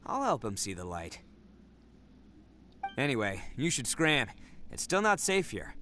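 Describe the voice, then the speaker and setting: An actor playing a cocky young male oni brawler who talks loud and teasing. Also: mid-range voice, wildly swooping pitch, unhurried, slight background noise, full character voice.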